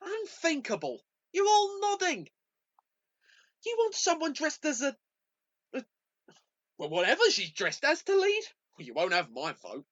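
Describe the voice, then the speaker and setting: A woman plays a haughty, sarcastic city high-flyer in a radio play. The voice sits high and swings dramatically up and down with pompous scorn. The recording is somewhat dull and homemade. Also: wildly swooping pitch, unhurried, pauses often, full character voice. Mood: scornful. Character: arrogant, sarcastic city professional dismissing the others. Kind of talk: amateur radio play acting.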